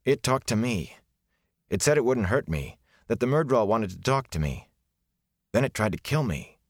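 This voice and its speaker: A man's voice: mid-range voice, very animated, moderate pace, dry recording, studio quality, voice pushed up. A man voicing an anxious, earnest young farm lad who pleads and stammers. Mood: stammering.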